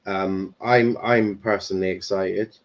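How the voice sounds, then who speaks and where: A man's voice: sits low, steady tone, measured pace. A young man hosting a casual football commentary stream, rambling with fillers and swearing. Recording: dull lo-fi stream audio.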